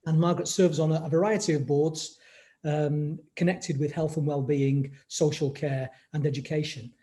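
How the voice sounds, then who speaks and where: A man's voice: a little high, fairly even intonation, moderate pace, mellow. A man introducing a speaker at a webinar over a video call, hesitant and repeating words. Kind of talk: event introduction.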